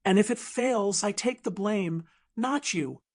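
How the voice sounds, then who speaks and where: A woman's voice: mid-range voice, unhurried, darkish tone. A woman voicing a principled, diplomatic event planner in her thirties, earnest and persuasive, in an audiobook drama.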